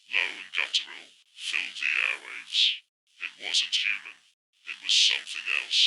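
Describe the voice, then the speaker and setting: A man giving an ominous, dramatic scripted horror narration in a gravelly voice. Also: low voice, noisy background.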